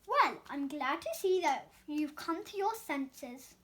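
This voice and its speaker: A woman voicing an eager, dramatic little male talking doughnut in a storybook, with emphatic, excitable delivery. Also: high-pitched, slow, exaggerated voice. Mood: relieved. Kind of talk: storybook read-aloud character voice.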